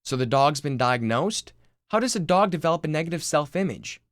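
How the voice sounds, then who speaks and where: A man's voice: fairly high pitch, lively intonation, brisk, fairly bright tone, no echo, clean studio sound, voice pushed up. A man voicing a sardonic, incredulous eighteen-year-old son in a screenplay reading.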